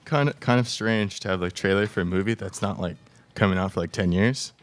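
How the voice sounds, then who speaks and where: A young man playing a laid-back moviegoer with a casual, halting delivery. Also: deep voice, natural voice. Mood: easygoing. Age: young adult. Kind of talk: student radio theatre dialogue. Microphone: microphone on stand.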